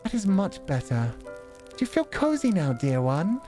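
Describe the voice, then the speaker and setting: A man voicing a gentle, doting young princess in a visual novel, speaking softly and tenderly in formal storybook phrasing. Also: high voice, wildly swooping pitch, normal speed, soft background music, voice pushed up. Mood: tender, reassuring.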